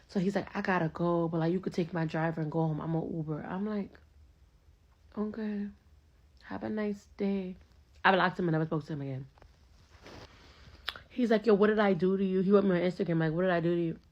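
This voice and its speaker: A woman's voice: medium pitch, highs cut off. A young woman telling a date story in a casual, slangy way, acting out both sides of the conversation. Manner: animated, slangy, acts out both sides of dialogue.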